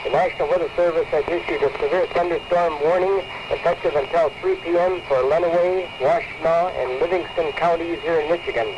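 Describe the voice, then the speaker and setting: Clear background noise from an old radio tape surrounds an American man with a fairly high voice. He reads a weather warning as a formal, scripted announcement. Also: steady tone, brisk, few pauses, lo-fi sound. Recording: noisy 1979 radio tape.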